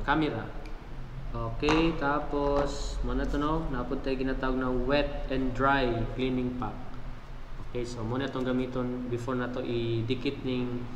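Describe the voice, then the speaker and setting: A Filipino man with a Visayan accent giving a casual gear demonstration as a vlogger, talking conversationally and checking in with viewers. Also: mid-range voice. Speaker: motovlogger presenting his gear. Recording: echoey reverberant room, clear background noise.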